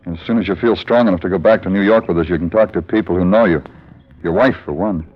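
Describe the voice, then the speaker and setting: A plain police sergeant. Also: male voice, sits low, quick pace. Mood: matter-of-fact. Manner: matter-of-fact. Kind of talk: 1950s radio drama acting. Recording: dull old radio broadcast.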